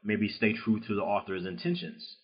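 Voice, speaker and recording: A man with a Southern US drawl hosts a relaxed interview, his voice sitting a little low. He talks loosely, stringing thoughts together with fillers and easy laughs. The recording is dull and lo-fi. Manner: loose and chatty, many "you know" fillers, quick laughs. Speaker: podcast host interviewing an author. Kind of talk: casual interview chat. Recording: dull lo-fi sound.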